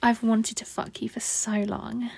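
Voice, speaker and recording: A seductive, needy woman lover, acted by a woman in intimate adult audio roleplay. Her voice is on the high side, with a creaky edge and frequent breathy whispers. She speaks slowly and coaxingly. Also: level tone, darkish tone, no room sound, full character voice. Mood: sultry, confiding. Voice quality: husky, breathy, often dropping into whispers. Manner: slow, sultry, coaxing.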